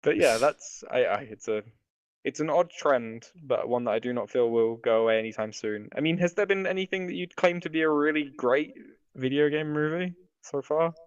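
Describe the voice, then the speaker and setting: A man's voice: fairly high pitch, moderate pace, clean tone, no room sound. A man co-hosting a podcast, chatting casually and informally, sometimes tentative, with some swearing. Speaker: podcast co-host. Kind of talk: casual chat about films and games. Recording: dull, dry, lo-fi voice track.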